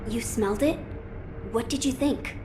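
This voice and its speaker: A woman's voice: a little high, moderate pace, natural voice. A woman voicing an earnest, noble young boy lord in a game cutscene, formal and thoughtful in delivery. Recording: clear ambient noise behind dialogue.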